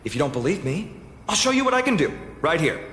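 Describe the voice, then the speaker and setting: A man's voice: on the high side, very animated, quick pace, fairly bright tone, a little hiss or hum. An actor voicing a proud, defensive young male cook in a video game, boastful and eager. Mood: indignant, boastful. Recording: echoey hall, faint music.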